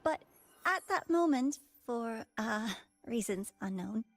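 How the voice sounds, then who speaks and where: High-voiced, earnest young assistant. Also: female voice, animated, takes it slowly, background noise. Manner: hesitant, earnest. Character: flustered young female assistant reading out records. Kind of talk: video game voice acting.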